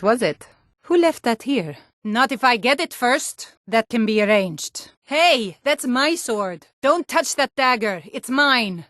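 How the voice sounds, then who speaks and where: A woman's voice: mid-range voice, no echo, clean studio sound, close to an everyday voice. A woman playing a plain-spoken, sturdy Nord townswoman and guard in a fantasy video game, with a firm, direct manner. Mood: possessive, defiant.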